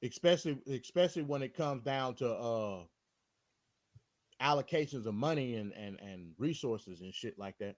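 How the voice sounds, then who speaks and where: A man's voice: mid-range voice, expressive, moderate pace, muffled recording. A man speaking bluntly and with profanity, arguing casually about politics as a call-in guest over a phone.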